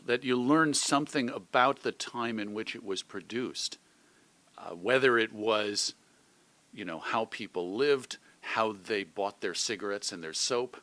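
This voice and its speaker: A man's voice: mid-range voice, bright, forceful tone, no room sound. A middle-aged man with an American accent, talking reflectively and articulately as a guest in a conversational interview. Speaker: interview guest, radio host and editor.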